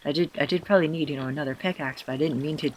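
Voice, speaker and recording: A man with a hoarse, tired voice, self-consciously rambling through casual gameplay commentary with many fillers and restarts. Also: on the high side, racing, slight background noise. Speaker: let's player.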